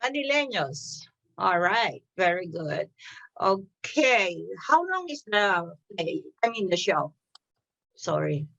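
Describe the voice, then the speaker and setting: A woman hosting a community TV interview, asking questions hesitantly with many pauses and fillers. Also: medium pitch, big pitch swings, fairly bright tone. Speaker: TV show host. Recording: dull lo-fi TV audio.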